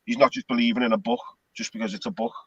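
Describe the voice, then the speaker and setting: A man, a guest on a casual panel, speaking in a measured way and often asking "you know what I mean". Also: mid-range voice, steady tone, husky, no room sound, muffled recording. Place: online call.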